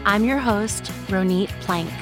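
A woman's voice: mid-range voice, measured pace, over music. A woman hosting an interview podcast, thoughtful, asking long winding questions. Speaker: podcast host.

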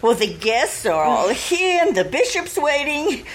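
A fluttery, fretful high-society mother acted by a woman. Her voice is very high and swoops widely, drawn out slowly in the manner of 1940s radio comedy. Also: very animated. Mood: fluttery, anxious. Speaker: amateur radio troupe actor. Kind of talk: 1940s radio play acting.

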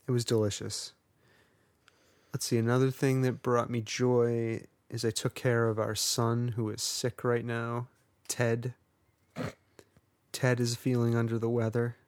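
A man's voice: mid-range voice, level tone, measured pace, long pauses, dry recording. A man co-hosting a podcast in casual chat, rambling and wry, poking fun at himself. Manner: wry, rambling and self-deprecating. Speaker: podcast co-host.

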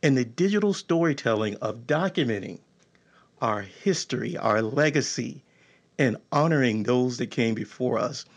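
A man's voice: mid-range voice, expressive, normal speed, breathy edge. Upbeat man hosting an online show. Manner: enthusiastic, repeats words. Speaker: show host. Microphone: video call.

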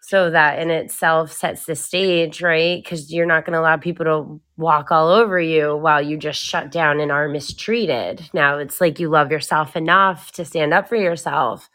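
A woman with a somewhat low voice talks loudly in a casual chat. She tells stories with animation, and fillers and laughter come through. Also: normal speed, hardly any pauses. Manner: loud, animated storytelling.

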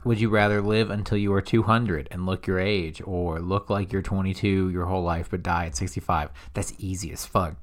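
A young man co-hosting a podcast, chatting casually and telling lively, profane stories. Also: low-pitched, normal speed, few pauses. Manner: animated storyteller, swears freely. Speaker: podcast co-host. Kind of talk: casual chat.

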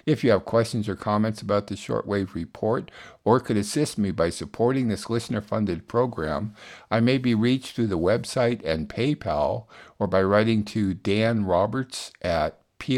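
Low-voiced male radio host. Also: darkish tone. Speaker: radio show host and producer. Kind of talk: scripted announcements and news summary.

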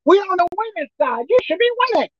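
A man with a squeaky voice preaching a sermon as pastor, emphatic and forceful. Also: very animated, quick pace, dry recording, lo-fi sound. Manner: emphatic, pitch leaping up and down.

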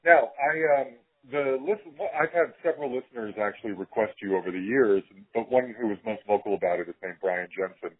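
Husky man hosting, slightly lo-fi. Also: lowish voice, fairly quick, few pauses. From Salt Lake City, United States. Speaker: podcast host interviewer. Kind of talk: casual conversational interview.

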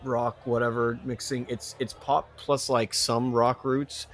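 A man's voice: mid-range voice, flat delivery. Rambling man on a casual podcast. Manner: rambling, halting, repeats himself. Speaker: podcast co-host. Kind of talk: casual banter and ranting.

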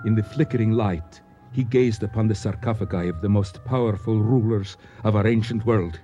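Man playing a mellow, courtly storyteller. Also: fairly low pitch, fairly even intonation, faint music behind, natural voice. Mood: absorbed storytelling. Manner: formal, courteous, florid, deliberate phrasing. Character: courtly Egyptian man, learned, nostalgic storyteller. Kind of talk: radio drama acting. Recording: dull, muffled old radio recording.

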